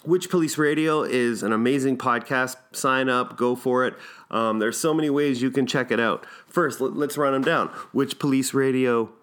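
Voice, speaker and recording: A man's voice: mid-range voice, normal speed, a bit bright. A man hosting a podcast in a casual, informal, enthusiastic and slangy way. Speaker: podcast host.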